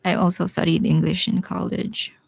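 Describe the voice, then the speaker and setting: Gravelly-voiced Bisaya woman teaching painting. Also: on the low side, level tone, moderate pace, no echo. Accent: Bisaya Filipino. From Philippines. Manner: casual, thinks aloud while painting, drops into quiet asides. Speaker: watercolor artist streaming live. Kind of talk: live painting tutorial with chat replies.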